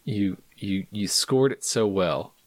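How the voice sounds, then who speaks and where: A man's voice: fairly low pitch, slow, no echo. A man hosting a casual podcast, playful and self-mocking as he reads out scores. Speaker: podcast host.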